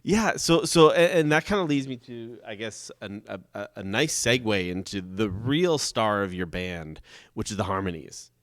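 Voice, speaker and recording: A man interviewing a band, casual and halting, often searching for his words. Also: fairly low pitch, animated, fairly bright tone, dry recording, clean studio sound.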